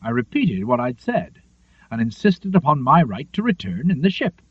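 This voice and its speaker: A man reading a literary seafaring memoir as an audiobook, in a measured, expressive storytelling manner. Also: mid-range voice, slightly rough, no echo. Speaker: audiobook narrator. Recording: narrow phone-like lo-fi sound.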